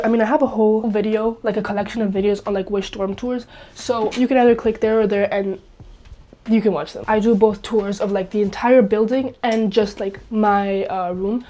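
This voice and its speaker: A woman's voice: medium pitch, mellow. A young woman from Spain, still getting over a cold, chatting upbeat to camera in a small dorm room and saying "like" a lot. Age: young adult. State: recovering from a cold. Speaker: vlogger. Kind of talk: casual vlog talk to camera.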